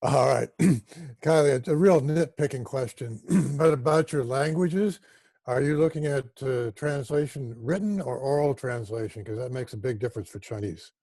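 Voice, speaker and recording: A man speaks up from the audience of a video call in a medium-pitched voice with very little room sound. He puts his points carefully and conversationally. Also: moderate pace, warm tone, dry recording. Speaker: audience member asking a question. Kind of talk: conversational question and comment.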